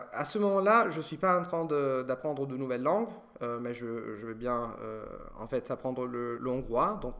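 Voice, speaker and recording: Young italian-born man, q&a host, lots of ums and restarts, mid-pitched voice. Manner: thinking aloud with many hesitations and restarts. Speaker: language learning video host. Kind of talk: casual Q&A monologue.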